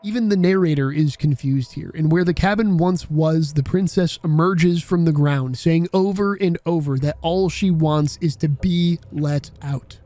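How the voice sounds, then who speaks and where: A man's voice: on the high side, normal speed, few pauses, mellow, dry recording. A man narrating a video essay in a casual, reflective way, with the occasional swear.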